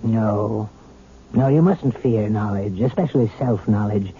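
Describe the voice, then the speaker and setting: A calm, coaxing hypnotist. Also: male voice, on the low side, a little hiss or hum. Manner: soft, measured, persuasive. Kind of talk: 1970s radio drama acting. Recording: tinny old radio broadcast with music.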